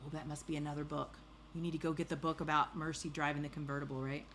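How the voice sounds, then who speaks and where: A woman's voice: low voice, little pitch movement, fast talker, fairly bright tone, a little hiss or hum. A woman voicing an earnest, formal policeman who questions and muses aloud in a storybook. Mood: puzzled, musing.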